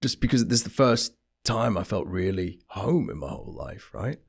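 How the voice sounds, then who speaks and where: A man born in England and raised in Switzerland, an actor guest chatting casually and telling animated stories. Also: on the low side, moderate pace, gravelly, breathy edge. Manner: animated storyteller, swings between eager and soft asides. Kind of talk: casual interview chat.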